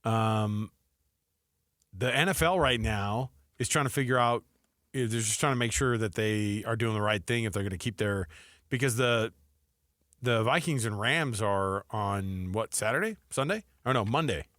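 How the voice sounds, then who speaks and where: A middle-aged American man co-hosting sports talk radio with casual, animated, colloquial banter. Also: fairly low pitch, moderate pace, dry recording, clean studio sound. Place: radio studio. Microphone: close studio microphone.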